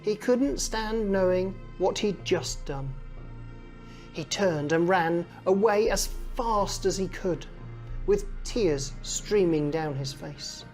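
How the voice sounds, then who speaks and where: Lower-voiced woman telling a slow, dramatic story. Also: expressive, a little mellow, soft background music. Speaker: storyteller reading to children. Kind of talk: dramatic children's story narration.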